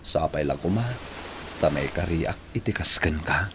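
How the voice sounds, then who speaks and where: A man acting an earnest young suitor in an Ilocano radio drama, tender, breathy and emotional. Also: rumbling low, normal speed, background noise.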